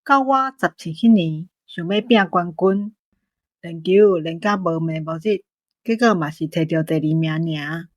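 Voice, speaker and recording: Audio drama role: young country villager played by a woman, Taiwanese accent, lowish voice, dry studio sound. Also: a little slow, dry recording. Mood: wistful recollection. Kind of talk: scripted audio drama acting.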